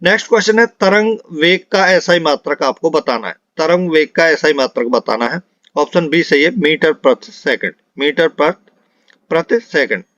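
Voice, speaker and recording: A man's voice: a little high, normal speed, fairly bright tone, dry recording. A man teaching an online exam revision class, reading out quiz questions and repeating the answers for emphasis. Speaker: physics teacher for police exam prep. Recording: dull lo-fi, dry close sound.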